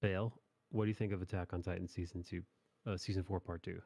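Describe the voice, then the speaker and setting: A man's voice: low voice, flat delivery, quick pace, creaky, warm tone, dry recording. A man hosting a casual chat about anime, talking informally in rambling recaps with some swearing. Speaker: podcast host.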